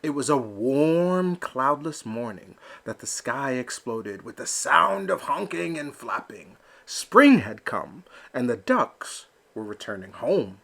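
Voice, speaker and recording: A man reading a children's picture book aloud with slow, expressive storytelling. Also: medium pitch, vocal fry. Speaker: storybook reader. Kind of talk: children's read-aloud narration.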